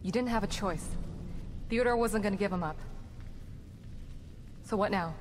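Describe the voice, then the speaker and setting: A woman's voice: mid-range voice, slight background noise, close to an everyday voice. Actress as earnest woman ally, reverberant. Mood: resolute. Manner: serious, measured, sincere. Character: earnest, sympathetic woman ally in a horror game. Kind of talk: dramatic video game acting. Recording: long reverb, music behind voice.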